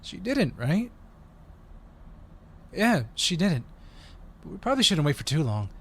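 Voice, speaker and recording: Man playing lively, friendly undercover agent. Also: on the high side, measured pace, husky, exaggerated voice. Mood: earnest, polite. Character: eager, friendly young male undercover agent. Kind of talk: audio roleplay acting.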